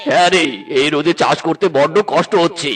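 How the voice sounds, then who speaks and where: A man voicing a grumpy tiger uncle who farms, gruff and exclamatory, in a cartoon with music underneath. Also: a little high, fairly even intonation, fairly quick, a bit bright, natural voice. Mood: weary, agreeing. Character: grumpy adult male tiger uncle, a farmer. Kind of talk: animated cartoon dialogue.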